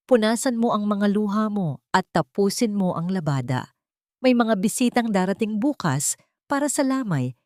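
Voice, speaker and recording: A woman's voice: mid-range voice, darkish tone, no room sound, studio quality, close to an everyday voice. Woman acting a cruel, commanding elderly matriarch. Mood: cold contempt. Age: older. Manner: commanding, menacing, scornful. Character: cold, cruel elderly rich mother-in-law, threatening and contemptuous.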